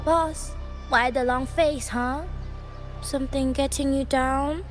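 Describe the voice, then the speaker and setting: A high-voiced, cryptic young mystic from a video game. She takes her time, teasing and sly in a singsong way, with soft music behind. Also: steady tone, slow pace. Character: playful, cryptic young female Taoist mystic. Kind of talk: video game character acting.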